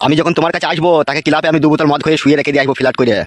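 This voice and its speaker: A man's voice: on the high side, fairly even intonation, racing, no echo, faint music behind, lightly acted. A man voicing a menacing, lecherous male village thug who taunts with sneering laughs. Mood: leering. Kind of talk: amateur cartoon voice acting.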